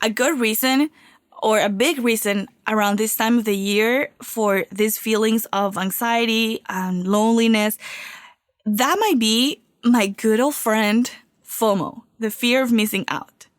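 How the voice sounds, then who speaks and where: A woman's voice: on the high side, a little slow, dry recording, clean studio sound. A woman from Venezuela, hosting a solo podcast in an upbeat, confiding way while getting over a cold. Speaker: podcast host.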